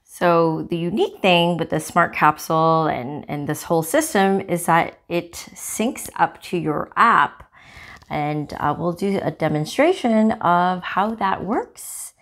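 A woman hosting a cooking channel, casually demonstrating a gadget in her home kitchen, chatty and relaxed with small fillers. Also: lowish voice, slow. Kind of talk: casual product demo.

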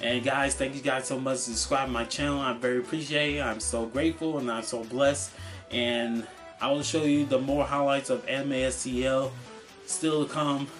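A young man with a mid-pitched voice talks casually to camera in a vlog. He speaks haltingly, often repeating phrases and stumbling over words. Music plays clearly behind him in a small room. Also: fairly even intonation. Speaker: vlogger showing convention purchases. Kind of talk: casual unscripted vlog chat. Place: small cluttered room at home, indoors.

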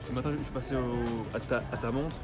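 A young man interviewing people on a city street, asking brisk questions and repeating the answers back. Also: medium pitch, steady tone, over music. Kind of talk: street vox pop questions. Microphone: phone held out to the guest.

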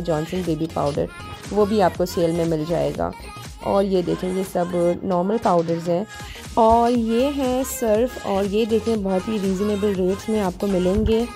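A woman vlogger walks the aisles, pitching deals in a chatty, upbeat way. Her voice is mellow and warm, and faint music plays under her phone recording. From Pakistan. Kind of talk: grocery store walkthrough narration.

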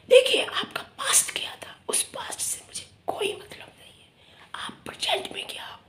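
A middle-aged woman talks straight to her phone camera in a small room, her voice hoarse and dropping into a breathy whisper. She speaks emphatically, her pitch very high. The room adds a noticeable echo. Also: fairly bright tone. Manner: emphatic, whispery from a sore throat. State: hoarse, lost voice. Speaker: vlogger. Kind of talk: casual talk to camera.

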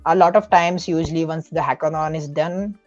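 A man's voice: fairly high pitch, steady tone, fairly quick, no echo. An earnest man explains things step by step as a podcast guest, often restarting and correcting himself mid-sentence. His voice is dry and close, with no room sound, and slightly muffled, with faint music behind. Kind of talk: casual podcast advice.

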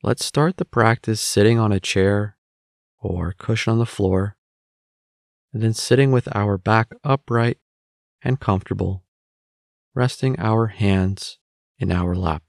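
A man leading a guided meditation as an instructor, speaking in measured short phrases with pauses between them. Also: on the low side, gravelly, no echo, clean studio sound.

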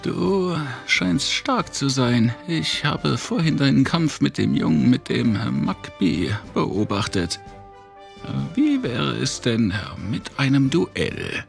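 A man playing a sinister, condescending old trainer in a horror audio drama, speaking deliberately. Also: mid-range voice, very animated, creaky, soft background music, exaggerated voice. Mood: ominous, challenging.

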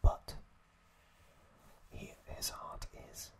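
A man speaks entirely in a soft, breath-only whisper. He takes his time, moving very slowly through an ASMR-style recap with frequent pauses. His delivery is halting and unhurried. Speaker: ASMR host.

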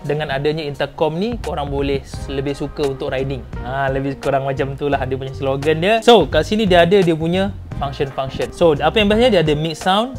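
Casting: Malaysian man, 30s, slightly high voice, informal and enthusiastic product vlogger, faint music underneath. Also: brisk, hardly any pauses. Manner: informal, enthusiastic presenter with slang and catchphrases. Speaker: motovlog host. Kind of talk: casual product unboxing vlog. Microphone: clip-on lapel mic.

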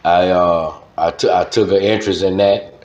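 Man in his 50s, low voice, Louisiana Southern accent, casual storytelling, echoey dull recording. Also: fairly even intonation, moderate pace, a little mellow. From Baton Rouge, Louisiana. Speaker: radio host, interview guest. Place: studio desk, indoors.

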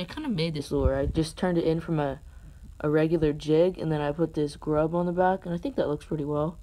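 A man casually showing his fishing tackle, hesitant and rambling, with unsure asides, recorded on a phone in a carpeted room at home. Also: on the high side. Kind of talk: casual unscripted show-and-tell. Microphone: phone camera held over the gear. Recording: phone recording with clear background noise.

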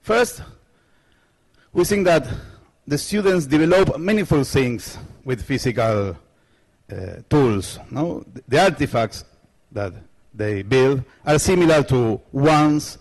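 Slow-talking Argentinian man presenting on stage. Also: medium pitch, lively intonation, darkish tone. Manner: halting non-native English with many fillers and restarts. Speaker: conference presenter. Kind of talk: conference talk. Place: large lecture hall stage. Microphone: handheld microphone.

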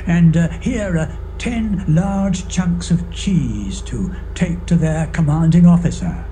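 Guy doing old dad in a bible audio drama, halting and really slow, bit higher voice. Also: animated, mellow, slight background noise. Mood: careful, fatherly. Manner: halting, unhurried. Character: old shepherd father giving careful instructions.

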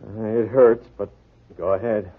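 An actor playing a gruff, grudging police detective in a 1940s radio drama. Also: male voice, fairly low pitch, steady tone, unhurried. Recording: tinny 1940s radio recording.

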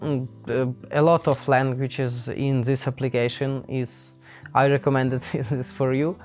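A young man from Ukraine with a Ukrainian accent, speaking unscripted to camera in a halting, hesitant way, with many pauses and fillers. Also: medium pitch, level tone, darkish tone. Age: young adult. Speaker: vlogger. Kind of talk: unscripted casual talk to camera. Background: faint guitar music.

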